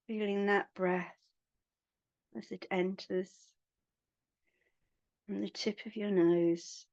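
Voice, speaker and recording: A woman guiding a meditation in a soothing, hushed manner, leaving long pauses between phrases. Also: mid-range voice, steady tone, no room sound, dull audio. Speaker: meditation guide. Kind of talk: guided meditation.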